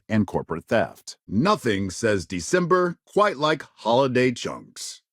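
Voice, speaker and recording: An actor playing a polished, dramatic male news anchor in a sci-fi game, with crisp, measured, emphatic broadcast phrasing. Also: medium pitch, dry recording, clean studio sound, lightly acted. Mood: promotional, lively. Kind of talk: scripted broadcast news delivery.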